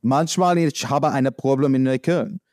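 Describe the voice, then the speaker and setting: A man from the United States with an American accent, speaking in a halting, searching way with many fillers, answering as an interviewee. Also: medium pitch, darkish tone, dry recording, clean studio sound. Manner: halting non-native speech with many fillers. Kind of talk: conversational interview.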